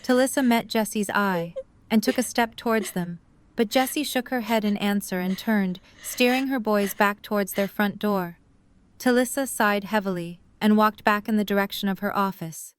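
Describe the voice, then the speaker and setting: A woman reads scripted story narration. Her voice is mid-pitched and cleanly resonant, suited to an audio drama narrator. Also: normal speed, smooth, no room sound.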